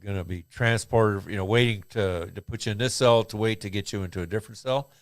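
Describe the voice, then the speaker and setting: A man's voice: lowish voice, fast talker, no room sound. Rambling laid-back male podcast co-host. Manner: rambling, loose, laughs often. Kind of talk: casual podcast banter.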